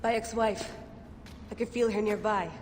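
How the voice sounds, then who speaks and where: A woman's voice: medium pitch, steady tone, normal speed, noisy background, close to an everyday voice. An actress playing a stubborn, obsessive young woman researcher in a video game cutscene, with a curt, natural delivery. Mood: insistent. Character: headstrong, obsessive young woman researcher chasing her dream.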